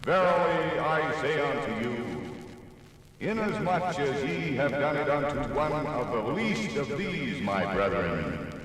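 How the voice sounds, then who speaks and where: In a distant, heavily echoing old radio recording, a grave man intoning scripture for a radio drama. His declamatory delivery is very slow and solemn, with wide swells in pitch. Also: very animated, a bit bright, full character voice. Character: solemn scripture reader intoning biblical verse.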